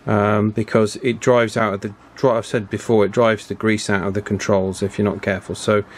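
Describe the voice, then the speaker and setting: A man giving a casual, chatty hands-on repair commentary in an unhurried way, as if thinking aloud. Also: lowish voice, level tone. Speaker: radio repair hobbyist presenting his work.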